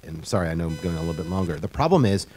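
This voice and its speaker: A man on a panel answering a question, speaking in a halting, explanatory way with many restarts. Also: sits low, expressive, darkish tone.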